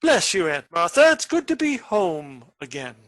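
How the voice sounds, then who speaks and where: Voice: medium pitch, big pitch swings, moderate pace, fairly bright tone. An actor as a swooping, menacing criminal nephew. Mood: mock-sweet. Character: menacing criminal nephew, brooding man with altered face. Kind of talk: stage comedy radio acting.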